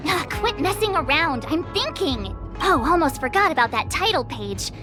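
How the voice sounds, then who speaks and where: A theatrical young girl detective from a video game. Her voice is high and very lively, cocky and playful in manner. Music plays clearly behind her. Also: moderate pace. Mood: musing, brash. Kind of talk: animated video game voice acting.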